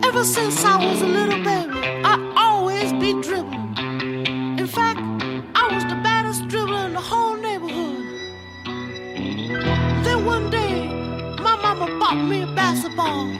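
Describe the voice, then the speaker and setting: A pleading soul singer delivers a comic spoken monologue in a piercingly high falsetto. He is obsessive and mock-earnest. Loud band music with guitar plays behind him. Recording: studio song with loud backing music.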